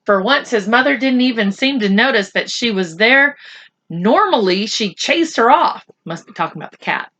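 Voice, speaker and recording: Woman, children's storyteller, bright voice, lively intonation, deliberate, teacherly. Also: fairly high pitch. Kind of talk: expressive read-aloud storytelling with asides to children.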